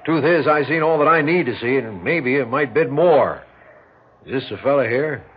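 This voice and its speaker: An actor playing a middle-aged small-town sheriff in a 1960s radio drama, blustery and folksy, with a rural American accent. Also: male voice, mid-range voice, expressive, normal speed, a little hiss or hum, close to an everyday voice. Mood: gruffly complaining. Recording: dull 1960s radio recording.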